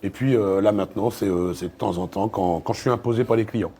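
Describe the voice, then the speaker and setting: A man in a casual interview as a voice actor and dubbing director, telling anecdotes haltingly and repeating words as he goes. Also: lowish voice, dark tone, slightly rough. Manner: hesitant, repeats words, acts out quoted exchanges.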